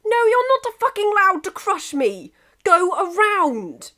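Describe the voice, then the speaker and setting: A woman's voice: very high-pitched, big pitch swings, measured pace, sharp tone, voice pushed up, full character voice. A woman voicing a drunk, exasperated man who personifies England, emphatic and indignant, in a comic story reading.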